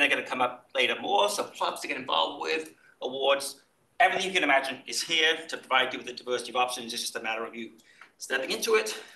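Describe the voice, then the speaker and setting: A man from Wales with a Welsh accent mixed with Kentucky, giving a brisk, upbeat welcome talk to students as department chair. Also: medium pitch, pushed and bright. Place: lecture room. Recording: roomy, from a distance, faint noise.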